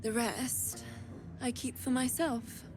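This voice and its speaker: A woman playing an eerie, imperious blood trader with morbid curiosity in a video game, speaking in a measured, silky, haughty way. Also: a little high, steady tone, over music. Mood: silky, intrigued. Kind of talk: dramatic video game acting.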